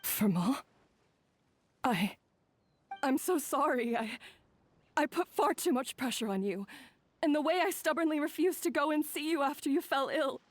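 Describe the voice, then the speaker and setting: An actress playing a proud but remorseful, self-doubting female head chef in a video game, speaking in an earnest, halting, dramatic way. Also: high voice, dry recording, natural voice. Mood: guilty, anguished.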